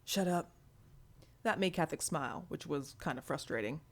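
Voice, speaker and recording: A woman's voice: sits low, lively intonation, fast. A woman reading a first-person story aloud as an audiobook narrator. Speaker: audiobook reader. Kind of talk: scripted fiction narration.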